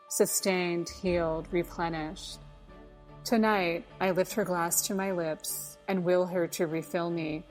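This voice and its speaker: A woman reading her own literary essay in measured, deliberate short phrases. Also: on the low side. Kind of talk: scripted literary narration of a personal essay.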